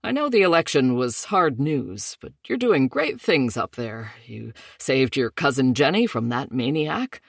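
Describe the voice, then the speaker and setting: A narrator voicing a gentle, reassuring father on a call. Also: mid-range voice, very animated, measured pace, vocal fry, few pauses, no echo, studio quality, full character voice. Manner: soft, reassuring. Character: distant gentle father over a phone call.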